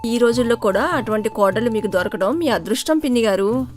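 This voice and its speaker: A woman's voice: fairly high pitch, fairly quick, faint music behind, natural voice. A woman voicing a concerned, suspicious younger village neighbour in a storybook tale, speaking respectfully and confidingly. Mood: friendly.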